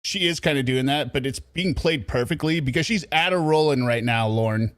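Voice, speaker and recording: A man's voice: medium pitch, moderate pace, no echo, clean studio sound. A man giving casual, informal reaction commentary as a cohost, with a wry, mocking manner.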